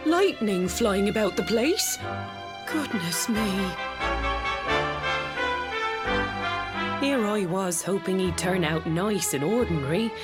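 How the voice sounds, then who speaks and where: With music behind, a homely middle-aged village mother from a video game. She has a British accent, a very animated pitch and a doting, wry, chatty way of talking. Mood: surprised, hesitant. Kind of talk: scripted video game voice acting.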